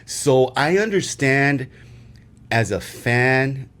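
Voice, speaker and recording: A man co-hosting a casual sports podcast, rambling through opinions with many fillers and restarts, growing emphatic when excited. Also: mid-range voice, drawn-out words. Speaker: podcast co-host.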